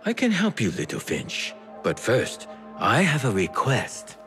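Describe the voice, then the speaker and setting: Game role: wily old tea trader, bright, swooping delivery, theatrical and teasing. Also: male voice, medium pitch, huge pitch swings, measured pace, soft background music. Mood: shrewd, familiar. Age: older. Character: lively old Chinese tea merchant, wily and affectionate. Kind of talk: video game character acting.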